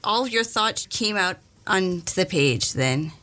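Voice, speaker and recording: A woman's voice: lowish voice, lively intonation, slow pace, sharp tone. A woman interviewing a young writer, asking questions and offering encouraging praise.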